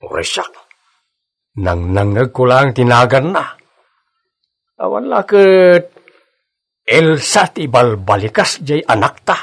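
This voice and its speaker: Animated, husky older Ilocano village father. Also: medium pitch, slow, pauses often, exaggerated voice. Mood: nosy, bantering. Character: lively, teasing village father, older man. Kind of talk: Ilocano radio drama acting.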